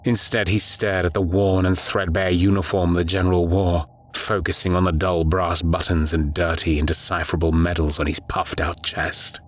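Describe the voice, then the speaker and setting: A man narrating a scripted horror audiobook in a grave, measured storytelling manner. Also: sits low, little pitch movement, no echo.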